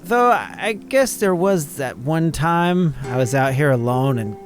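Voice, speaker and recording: A man's voice: a little high, expressive, a little slow, soft background music, voice pushed up. A man voicing a chatty, upbeat young woman cryptid hunter in a visual novel, breezy and rambling. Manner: breezy, rambling, shrugs off danger. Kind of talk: reading visual novel dialogue aloud.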